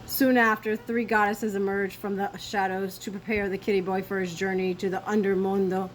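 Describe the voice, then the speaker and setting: A woman storyteller reading a children's story aloud with expressive, theatrical emphasis. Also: mid-range voice, normal speed, slight background noise, poor audio.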